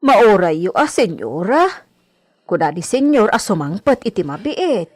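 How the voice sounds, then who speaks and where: A woman's voice: medium pitch, sing-song, unhurried. A woman with an Ilocano accent playing a deferential, chatty household maid in a radio drama.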